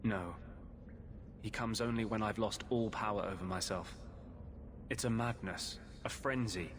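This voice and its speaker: An actor playing a tormented mage possessed by a vengeful spirit, speaking gravely and confessionally in a video game. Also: male voice, lowish voice, a little slow, noisy background, natural voice. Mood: bitter, anguished. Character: tormented male mage sharing his body with a vengeful spirit. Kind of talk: dramatic video game acting.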